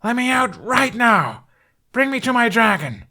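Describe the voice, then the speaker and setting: A man's voice: very high voice, big pitch swings, measured pace, bright, forceful tone, pitched above the performer's own voice, full character voice. A man voicing a furious, defiant teenage boy held captive in an audiobook, in a snarling, demanding manner.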